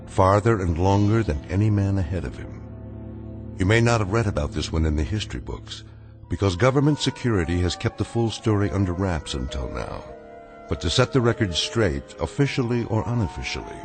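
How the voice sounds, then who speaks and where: An actor playing a grave, deliberate narrator of eerie tales in a scripted radio drama. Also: male voice, sits low. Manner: deliberate, portentous. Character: grave, measured male storyteller of eerie tales.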